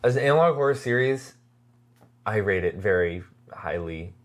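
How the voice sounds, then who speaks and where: A podcast co-host chatting casually about a series in an earnest, halting way, often repeating words while forming thoughts. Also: low-pitched, animated. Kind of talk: casual chat reviewing a web horror series.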